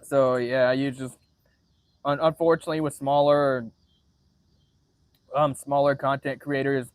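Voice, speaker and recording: A man chatting casually on a livestream in a monotone voice, halting often as he restarts phrases and swearing freely. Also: medium pitch, no echo. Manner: frequent swearing. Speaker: livestreamer answering viewer chat.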